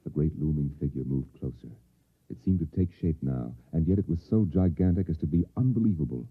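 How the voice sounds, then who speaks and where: A man's voice: low-pitched, slightly rough. A man narrating a 1950s radio drama in a grave, portentous, dramatic style. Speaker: story narrator. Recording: muffled vintage radio recording. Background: dramatic music.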